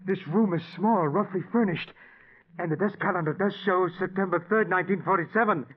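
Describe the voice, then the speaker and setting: A man's voice: high voice, a little fast. Anxious, doomed man, muffled old radio. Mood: terrified. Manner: fearful, fervent, dramatic. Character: anxious, doomed man obsessed with cheating death. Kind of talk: 1940s radio acting. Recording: muffled vintage radio recording.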